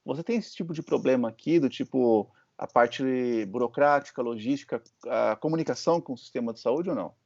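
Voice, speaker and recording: A Brazilian man hosts the talk in an easy, conversational way and often checks that the other person is following. His voice sits at a middle pitch. The audio is dull and lo-fi. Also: brisk, hardly any pauses, a little mellow. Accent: Brazilian Portuguese. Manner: conversational storytelling, checks in with "né?" and "certo?". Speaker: host and physician. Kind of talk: casual interview chat. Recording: muffled lo-fi audio.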